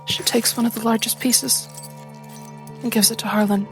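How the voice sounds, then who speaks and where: A woman's voice: fairly high pitch, level tone, moderate pace. A woman player in a tabletop roleplay, narrating her character's actions dramatically in the third person. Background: music.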